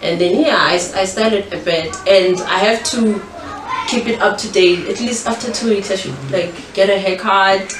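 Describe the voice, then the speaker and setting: A woman's voice: medium pitch, normal speed, distant mic. A young adult woman with a South African accent, chatting casually as a guest in an interview. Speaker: interview guest, pageant finalist. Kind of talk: casual chat. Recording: roomy echo, off-mic, faint noise.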